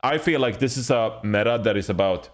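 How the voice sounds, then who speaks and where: A man's voice: mid-range voice, fairly quick. A man hosting a casual esports panel discussion, talking in a blunt, rambling, profane way. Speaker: podcast host.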